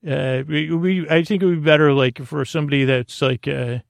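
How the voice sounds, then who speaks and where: A man hosting a sleep podcast in a meandering, halting monologue, whimsical and self-deprecating, stammering and circling back on his words. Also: on the high side, fairly even intonation, gravelly, dry recording, studio quality. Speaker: host of a bedtime story podcast.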